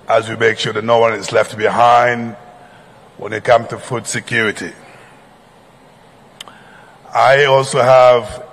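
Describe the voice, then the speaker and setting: A man's voice: medium pitch, a bit bright. A man from Senegal hosts a conference session from the stage, formal and polite. He keeps things moving when plans change. His mid-range voice rings out with a long reverb from the big hall. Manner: formal, courteous, improvising through delays. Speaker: moderator.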